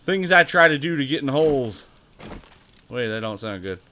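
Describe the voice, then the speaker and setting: A man's voice: medium pitch, animated, moderate pace, bright, forceful tone, a little hiss or hum. An American man hosting a gaming livestream, chatty, laughing often and swearing when something surprises him. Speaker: livestream host. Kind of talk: casual gaming livestream chat.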